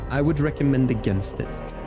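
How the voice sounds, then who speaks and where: A man's voice: medium pitch, measured pace. A man giving casual gameplay commentary and chatting to viewers as a livestreamer, with music behind him. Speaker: game livestreamer.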